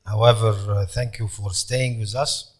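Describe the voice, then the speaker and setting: A man's voice: fairly low pitch, fairly even intonation, normal speed. Egyptian man lecturing, filler-heavy. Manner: hesitant, many fillers, non-native phrasing. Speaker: professor lecturer. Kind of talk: conference lecture.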